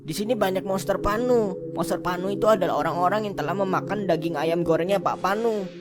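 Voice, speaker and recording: A man with a slightly high voice voicing a young cartoon ghost guard, slangy and stammering, a swaggerer who is easily cowed. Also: brisk, background noise, natural voice. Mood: cowed, nervous. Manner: slangy, stammering, repeating words. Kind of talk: comic amateur cartoon voice acting. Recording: loud music under the voice. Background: loud music.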